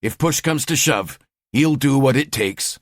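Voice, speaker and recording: A man doing a gruff cynical Scottish cop. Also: medium pitch, animated, unhurried, no echo, studio quality, pitched above the performer's own voice. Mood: grumbling. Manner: grumbling, cynical. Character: gruff bent middle-aged Scottish detective.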